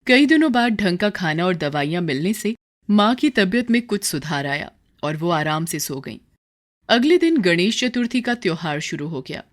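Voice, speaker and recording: Expressive, lower-pitched woman storyteller. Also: moderate pace. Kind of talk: scripted dramatic narration of a moral story.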